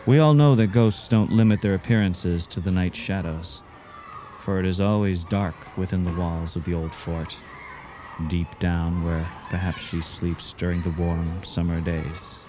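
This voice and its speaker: A man's voice: low-pitched, fairly even intonation, a little slow, noisy background. A man narrating a ghost story dramatically for a radio drama.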